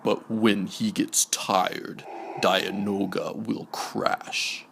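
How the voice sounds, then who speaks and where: A man reads a bedtime storybook very slowly, in a low, hushed voice with a gravelly creak. His delivery is measured and follows the rhyme. There is some noise in the recording. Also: level tone. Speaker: storybook narrator. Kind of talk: bedtime story read-aloud.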